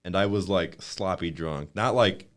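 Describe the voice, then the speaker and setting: A young man with a somewhat low voice tells a college story with growing energy. He talks casually and gets carried along by his own story. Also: measured pace. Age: young adult. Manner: animated storyteller who laughs at his own tales. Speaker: podcast co-host.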